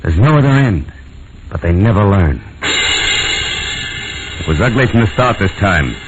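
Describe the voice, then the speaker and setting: An American actor playing a cynical, world-weary private detective in a 1940s radio noir. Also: male voice, lowish voice, very animated, a little slow. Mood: grim, brooding. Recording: dull old radio recording with music.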